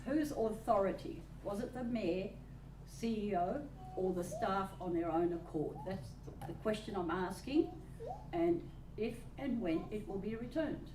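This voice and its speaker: A woman's voice: mid-range voice, lively intonation, measured pace. An Australian woman, a resident at a council meeting, pressing pointed questions insistently. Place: old council chamber. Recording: distant, echoey, noisy chamber recording.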